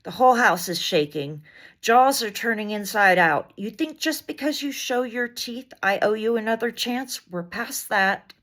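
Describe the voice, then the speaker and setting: A woman reading poetry aloud as dramatic spoken word, in an intense, deliberate delivery of short, weighted phrases. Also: on the high side, expressive, a bit bright. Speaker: poet reading poems aloud.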